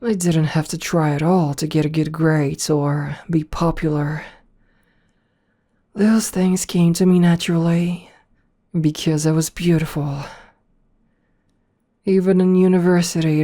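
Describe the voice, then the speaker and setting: Woman acting a low, slow, possessive rich CEO seductress. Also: fairly even intonation, mellow. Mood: wistful, self-assured. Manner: slow, deliberate first-person storytelling. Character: possessive, seductive rich female CEO hypnotist, self-assured. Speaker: voice actor. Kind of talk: ASMR audio roleplay.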